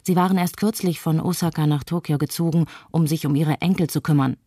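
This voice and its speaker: A low, mellow female voice, recorded dry, plays a frightened young mother acted by a woman. She gives a calm, reflective testimony as a radio play monologue. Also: a little fast, dry recording. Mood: subdued, reflective. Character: frightened young mother recalling fleeing with her daughter.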